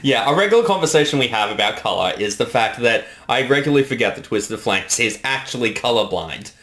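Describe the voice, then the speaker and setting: A man speaking casually as a director in behind-the-scenes commentary, explaining things in a halting, reflective way. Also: mid-range voice, expressive, a bit bright. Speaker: director giving creator commentary.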